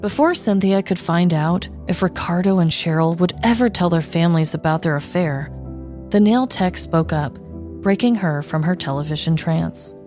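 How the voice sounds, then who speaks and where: A woman with a fairly low voice hosts a comedy podcast. She tells scripted true-crime tales and chats casually, with faint music at times behind her. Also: normal speed, darkish tone. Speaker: podcast co-host.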